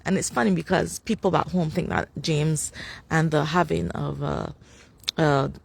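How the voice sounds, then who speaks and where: A woman's voice: low-pitched, steady tone, measured pace, creaky, breathy edge. A young woman from Barbados with a Barbadian accent, chatting casually on a phone live stream outdoors on a city street, rambling with many fillers and restarts and laughing often. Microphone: phone camera.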